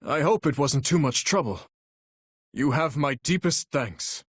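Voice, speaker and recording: An actor playing an earnest, courteous young warrior prince in a video game, speaking formally and resolutely. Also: male voice, on the high side, lively intonation, measured pace, breathy edge, no room sound, studio quality. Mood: warm, grateful. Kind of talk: video game battle voice lines.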